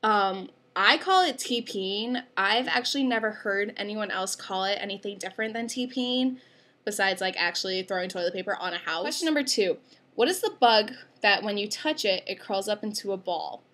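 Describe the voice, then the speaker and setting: Bright-voiced chatty young woman vlogger. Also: mid-range voice, moderate pace. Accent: upstate New York. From upstate New York. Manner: chatty, rambling with many fillers. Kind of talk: casual accent tag video.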